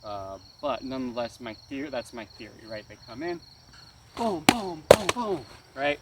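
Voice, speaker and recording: A man's voice: medium pitch, lively intonation, normal speed. A man giving a casual, hands-on martial arts lesson as an instructor, often checking understanding and punctuating moves with exclaimed sound words. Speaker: karate weapons instructor. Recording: distant mic, noisy outdoor ambience with insects.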